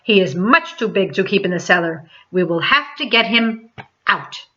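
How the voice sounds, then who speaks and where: A woman's voice: mid-range voice, expressive, moderate pace, a bit bright, close to an everyday voice. A woman voicing an incredulous, helpful policeman in a children's story, exclamatory yet matter-of-fact. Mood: astonished. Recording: dull lo-fi sound.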